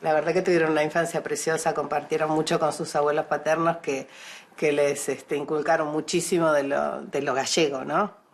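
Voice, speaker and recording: A woman's voice: deep voice, flat delivery, moderate pace, slight background noise. A middle-aged woman with an Argentine accent, talking casually as an interviewee. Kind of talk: casual chat.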